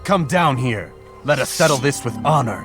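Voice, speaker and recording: An actor playing an arrogant, stoic assassin in a video game, terse, cold and self-assured. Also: male voice, medium pitch, expressive, normal speed, fairly bright tone, faint music behind, close to an everyday voice. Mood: challenging. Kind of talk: restrained video game acting.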